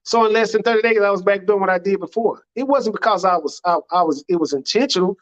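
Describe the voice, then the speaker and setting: A man's voice: sits high, fast talker. An American man speaking as an imam, giving an earnest, plainspoken religious address that leans on repeated phrases. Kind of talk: sermon, religious public address. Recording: dull, lo-fi recording.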